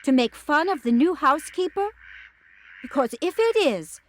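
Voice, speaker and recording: Casting: woman playing a fussy, talkative housekeeper, high voice, old-time audio drama. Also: lively intonation, a little hiss or hum. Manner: chatty, then indignant and hurried. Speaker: cook and housekeeper. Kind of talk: radio drama acting.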